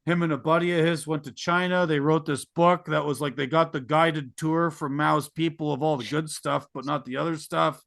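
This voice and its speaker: A man's voice: fairly high pitch, steady tone, normal speed, creaky, hardly any pauses, fairly bright tone, no echo, clean studio sound. A middle-aged man hosting a podcast, talking in an opinionated, rambling and sarcastic way. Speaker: podcast host. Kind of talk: casual podcast conversation.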